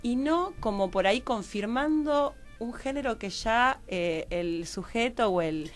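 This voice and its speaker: A woman's voice: a little high, expressive, a little slow, faint music behind. A woman with an Argentine accent explaining as a radio interview guest, searching for her phrasing and often checking with tag questions. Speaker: interview guest, psychologist and university teacher.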